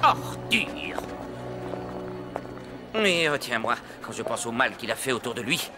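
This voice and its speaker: A man's voice: medium pitch, sing-song, slow. A man playing an indignant, grumbling, hot-tempered older man in a cartoon. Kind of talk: dubbed cartoon acting. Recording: music behind the voice.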